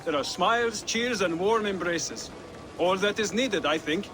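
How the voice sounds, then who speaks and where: High-voiced courteous scholar in heavy noise. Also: male voice, measured pace. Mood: courteous, content. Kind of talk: video game dramatic dialogue. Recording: loud ambient noise behind voice.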